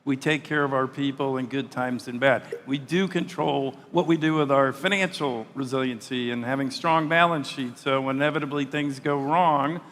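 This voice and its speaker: A man speaking as a panelist on a stage, measured and given to repeating words as he starts a thought. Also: mid-range voice, fairly even intonation, a bit bright, slight background noise. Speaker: panelist, hospitality executive. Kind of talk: panel discussion. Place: large conference hall stage. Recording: hall reverb, faint ambience.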